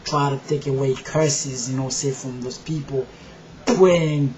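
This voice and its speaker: A man from Haiti with a Haitian accent, telling his faith story to his viewers in a rambling way with many fillers, moving from soft and halting to loud and forceful. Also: medium pitch, fairly even intonation, dull audio. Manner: rambling, many "you know" fillers, quiet at first and growing loud and emphatic. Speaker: video blogger. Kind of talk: personal testimony to camera.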